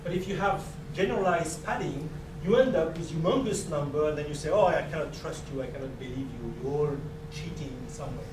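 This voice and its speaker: A middle-aged man, not a native English speaker, giving an animated, joking conference lecture with slides to an audience. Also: a little high, brisk, hardly any pauses. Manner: animated, gesturing, joking asides. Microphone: distant camera mic. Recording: echoey room, distant, noisy.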